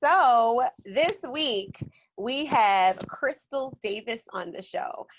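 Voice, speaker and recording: A woman with a Baltimore accent hosting a podcast, chatty and quick to laugh, filling her talk with "like" and "um". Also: mid-range voice. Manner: chatty, laughs often, lots of "like" and "um". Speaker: podcast host. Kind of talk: casual chat and guest introduction.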